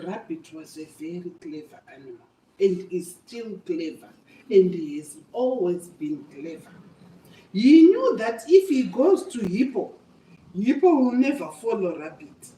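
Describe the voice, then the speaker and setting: A woman's voice: mid-range voice, sing-song, measured pace, mellow, slight background noise. An older woman telling a story to children in an emphatic, repetitive, teacherly way. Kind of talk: animated oral storytelling to children. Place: echoey room, away from the microphone. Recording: echoey room, distant microphone.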